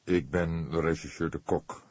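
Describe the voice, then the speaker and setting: Audiobook role: old male inspector, deep and husky, probing, measured, wry read by a man. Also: steady tone, darkish tone, dry recording. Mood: friendly, measured. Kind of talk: audiobook character dialogue.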